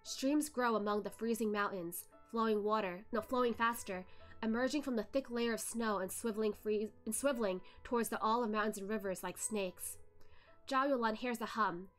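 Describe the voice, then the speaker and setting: Woman narrating a story over soft music. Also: fairly high pitch, normal speed. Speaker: novel reader. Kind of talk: reading a web novel aloud. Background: soft background music.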